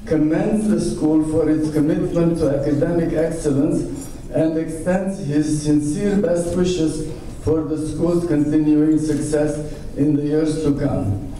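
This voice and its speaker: A man's voice: on the high side, fairly even intonation, warm tone. An older man as emcee giving formal banquet remarks at a podium, reading with small stumbles, recorded from across a large hall. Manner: measured, reads from a page with small stumbles. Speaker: event emcee. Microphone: podium microphone heard from a far camera. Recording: echoey hall, far-off mic, room noise.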